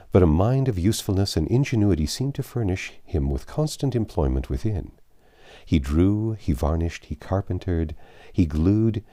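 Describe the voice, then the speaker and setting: A man reading classic literary fiction aloud as an audiobook narrator, in a measured, expressive storytelling manner. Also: low-pitched.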